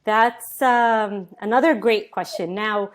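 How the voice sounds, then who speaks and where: A woman, a guest on a webinar interview, speaking thoughtfully with many pauses, fillers and restarts, over a video call. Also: mid-range voice. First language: Arabic.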